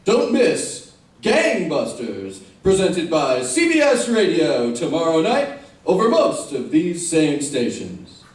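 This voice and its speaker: A man's voice: fairly high pitch, huge pitch swings, takes it slowly. A man with an American accent announcing on 1950s radio in an emphatic, formal style. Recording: echoey, distant old radio recording, faint noise.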